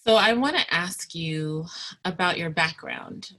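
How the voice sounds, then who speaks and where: A woman's voice: lowish voice, expressive, unhurried, bright, forceful tone, rough recording. A woman hosting an interview, chatty and casual with slang and easy laughter. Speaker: interview host.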